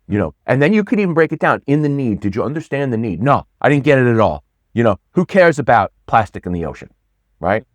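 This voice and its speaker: A middle-aged man, a pitch coach, talking casually in an interview, animated, often restarting and repeating words. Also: quick pace, dry recording. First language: not Swedish; English speaker.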